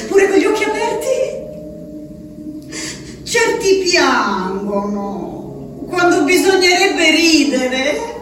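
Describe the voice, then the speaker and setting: An actress playing a plaintive, stubborn country woman in an asylum, reciting letters in a drawn-out sing-song for a radio drama. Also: high-pitched. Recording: echoey, distant, clearly noisy.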